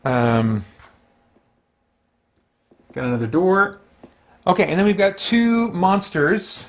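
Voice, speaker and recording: A man with a voice pitched a little above average hosts a solo tabletop game. He talks casually and without a script, thinking aloud in a halting way as he narrates his own play to himself and the viewer. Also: sing-song.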